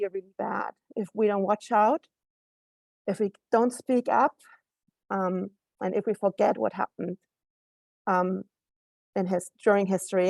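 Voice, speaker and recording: A woman with a German accent speaks over a video call, earnest and measured, pausing often as she finds her words. Her voice is mid-pitched and sounds close and dry, with no room around it. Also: a little mellow, no room sound. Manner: earnest, with frequent hesitations. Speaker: council member. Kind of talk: meeting remarks.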